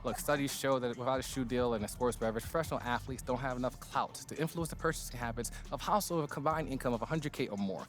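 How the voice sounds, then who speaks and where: A man performs an abrasive executive in a game cutscene. He talks quickly at a medium pitch, precise and condescending, with faint music underneath. He is blunt and demanding. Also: close to an everyday voice. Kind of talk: video game cutscene acting.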